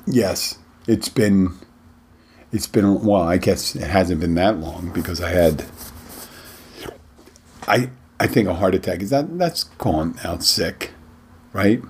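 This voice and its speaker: A middle-aged man hosting his bartending podcast in a casual, rambling way, his voice a little rough from a cold he is getting over. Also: low-pitched, animated, a little mellow, a little hiss or hum. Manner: rambling, halting, many restarts. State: recovering from a head cold, slightly rough voice. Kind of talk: casual solo podcast talk.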